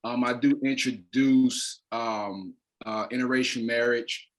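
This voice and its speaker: A man with an American accent talks as a stage director being interviewed. His pitch sits in an average male range, and his delivery is casual and promotional. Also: steady tone, takes it slowly, low-quality recording.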